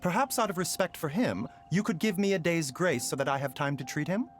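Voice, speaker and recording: A man playing a courteous, enigmatic young doctor in a video game, measured, formal and persuasive. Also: on the high side, animated, faint music behind, natural voice. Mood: calm.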